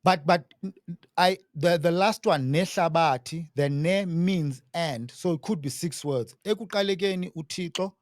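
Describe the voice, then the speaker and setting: Brief: higher-voiced male host, native isiXhosa speaker, eager and interrupting, dry close sound. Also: normal speed, dry recording. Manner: eager, restarts often, steers the talk. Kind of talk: conversational interview.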